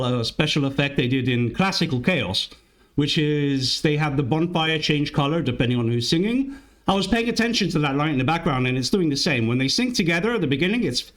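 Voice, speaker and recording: A man's voice: on the high side, a bit bright. A man whose first language is Italian hosts a music reaction channel, giving casual, musing commentary that often restarts mid-sentence. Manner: chatty and musing, with frequent restarts.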